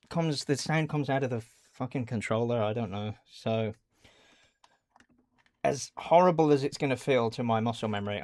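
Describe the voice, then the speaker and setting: A man livestreaming a video game, chatting casually in an apologetic, muttering, sweary way. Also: mid-range voice. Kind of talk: casual live stream chat. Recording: music behind the voice. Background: game music, keyboard typing.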